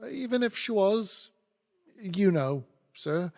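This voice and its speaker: Man playing a high-voiced timid, pleading fellow. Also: lively intonation, slow pace, vocal fry, darkish tone, exaggerated voice. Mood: anxious pleading. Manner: deferential, pleading. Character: timid, apologetic, anxious married man pleading respectfully.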